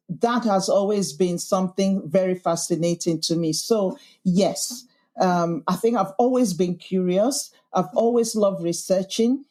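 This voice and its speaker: A woman's voice: medium pitch, warm tone. Woman, Yorkshire accent, podcast host as guest, thoughtful and emphatic. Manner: earnest, thoughtful, with frequent restarts and emphatic lists. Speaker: podcast host being interviewed. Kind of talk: reflective conversational interview.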